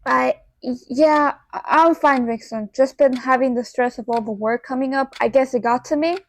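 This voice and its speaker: A woman's voice: high-pitched, moderate pace. A woman playing an overworked, modest human manager in a radio play, reserved and hesitant in delivery. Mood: groggy, flustered. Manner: reserved, hesitant, slips into Spanish. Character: Ari, overworked, modest human woman running a cartoon studio. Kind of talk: fictional radio theater acting.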